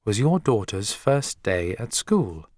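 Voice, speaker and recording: A polite but resolute lady schoolteacher voiced by a man in a storybook. The pitch is lifted and lively, the manner earnest, firm and well-mannered. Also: slow pace, dry recording, studio quality, heavily acted. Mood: composed. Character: polite, resolute, slim young woman schoolteacher.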